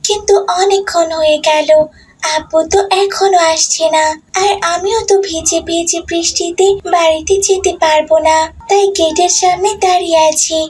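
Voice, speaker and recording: A woman's voice: extremely high pitch, steady tone, normal speed, soft background music. A woman voicing a polite little schoolgirl in a cartoon. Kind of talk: cartoon voice acting.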